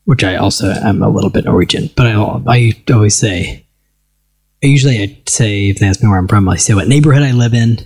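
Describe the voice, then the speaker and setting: An American man chatting at a table with friends, pausing and restarting as he thinks. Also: on the low side, a little mellow, breathy edge, dry recording. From United States. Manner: hesitant, restarts often. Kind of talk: casual conversation. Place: seated at a table with others.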